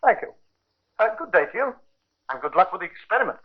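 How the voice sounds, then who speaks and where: Polite fussy chemist, high voice, hums and haws, old muffled radio drama. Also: male voice, very animated, quick pace, very noisy. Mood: courteous. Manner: courteous, hesitant, precise shopkeeper patter. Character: polite, fussy, obliging male Victorian chemist. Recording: muffled 1950s radio recording.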